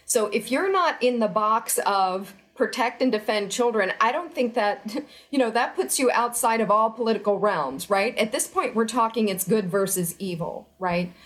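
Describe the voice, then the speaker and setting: Middle-aged woman guest, earnest and emphatic, a steady mid-pitched voice. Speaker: interview guest, former federal employee. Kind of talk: remote news interview.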